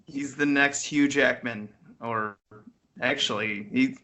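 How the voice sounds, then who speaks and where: A man's voice: mid-range voice, fairly even intonation, slow, pushed and bright, slight background noise. A man co-hosting a casual film review podcast over an internet call, speaking with easy enthusiasm. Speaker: podcast co-host.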